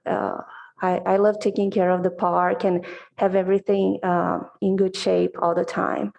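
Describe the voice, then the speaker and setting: A woman's voice: medium pitch, gravelly, mellow, poor audio. A middle-aged woman from Brazil, Portuguese her first language, answering interview questions hesitantly with many fillers over a video call. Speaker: commission applicant. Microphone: video call mic.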